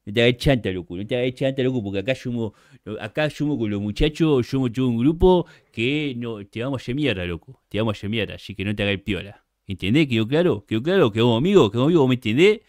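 A man's voice: mid-range voice, expressive, fast talker, a little mellow, no room sound, clean studio sound, close to an everyday voice. A man doing a swaggering tough. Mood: menacing. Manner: threatening, swaggering. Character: menacing street tough man from Buenos Aires.

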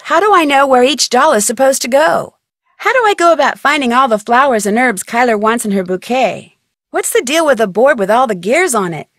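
A young woman with an American accent playing a curious, polite amateur detective in an adventure game, speaking clearly and inquisitively. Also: fairly high pitch, dry recording, clean studio sound, lightly acted. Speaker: game protagonist. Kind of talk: scripted adventure game dialogue.

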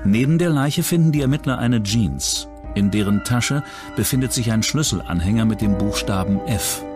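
A man's voice: fairly low pitch, animated, normal speed. A man reading scripted true-crime documentary narration as the narrator. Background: background music.

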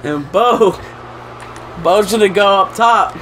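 A young man playing a video game with a friend, calling out the action with excitable exclamations. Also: high-pitched, takes it slowly, slight background noise. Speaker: co-player. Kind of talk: casual play-by-play banter.

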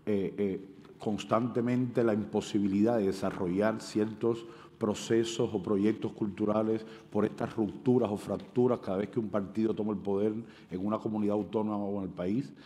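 A man from Cuba in his sixties with a Cuban accent, speaking on a panel debate, animated and hesitant, on a headset mic on an auditorium stage. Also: on the low side, moderate pace. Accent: Cuban Spanish. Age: 60s. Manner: animated, gestures widely, many hesitations. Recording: long hall reverb.

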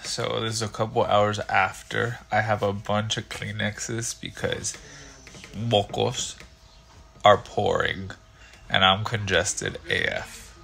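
A man's voice: lowish voice, steady tone, takes it slowly, vocal fry, bright, forceful tone. A man in his thirties talking casually as a vlogger and patient, recorded on a phone indoors. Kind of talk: casual vlog chat. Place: small indoor rooms, clinic and bedroom. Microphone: phone, often at arm's length. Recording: roomy indoor sound, faint noise.

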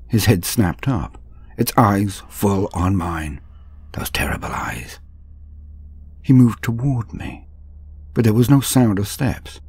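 A man voicing a weary, haunted captive laborer from a grim sci-fi future, in brooding, measured, dramatic horror-story narration. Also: a little mellow, a little hiss or hum. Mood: awed dread. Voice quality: low, husky. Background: music.

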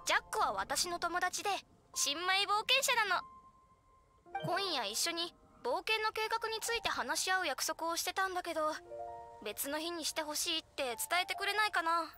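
A woman's voice: very high voice, animated, moderate pace, sharp tone, faint music behind, exaggerated voice. A woman voicing an earnest, eager young girl who trains to be a knight, in an anime game. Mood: requesting. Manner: earnest, youthful, polite.

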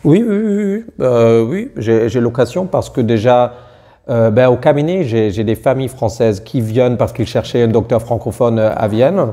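A man's voice: mid-range voice, normal speed, mellow. A man in his thirties, a native German speaker from Austria with a German accent, talking hesitantly with many fillers and restarts as an interview guest. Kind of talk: casual interview chat in a learned language. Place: small indoor studio room.

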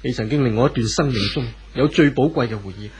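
An actor playing a gentle, forgiving wealthy husband in a 1970s radio drama, with a Hong Kong Cantonese accent. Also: male voice, mid-range voice, fast, natural voice. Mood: sad, restrained. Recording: old radio recording, faint noise.

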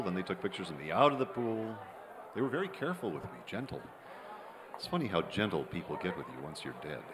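A man's voice: mid-range voice, noisy background. An actor playing a wry, cynical middle-aged man narrating his own murder in a radio noir drama. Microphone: vintage-style stand microphone on stage. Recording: live theatre, long hall reverb, audience.

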